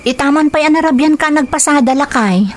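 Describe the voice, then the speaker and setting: Woman acting as village mother, Ilocano accent, middle-aged, caring, worried, expressive sighing delivery, radio drama. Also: high voice, fairly quick, a little hiss or hum, lightly acted. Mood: worried, pitying. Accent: Filipino, Ilocano.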